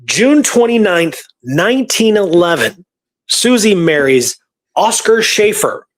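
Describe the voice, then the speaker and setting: A man's voice: high-pitched, big pitch swings, slow pace. A man talking casually through historical research, rambling and informal, repeating points for emphasis. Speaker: history researcher and show guest or co-host.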